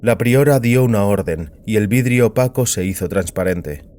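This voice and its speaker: A man's voice: lowish voice, expressive, moderate pace, a little mellow, a little hiss or hum. A man giving a scripted narration of a science fiction audiobook. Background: music.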